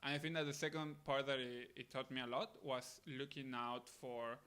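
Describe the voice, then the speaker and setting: Bright-voiced young man from Argentina. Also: mid-range voice, steady tone, moderate pace, smooth. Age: late 20s. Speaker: podcast guest, missionary. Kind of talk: casual interview answers.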